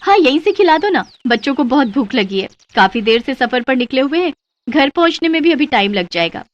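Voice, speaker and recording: A woman's voice: high-pitched, very animated, brisk, lo-fi sound. A woman playing an eager, chatty wife in a cartoon story. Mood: pleading. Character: eager wife of a food blogger, travelling mother. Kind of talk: Hindi moral-story cartoon voice acting.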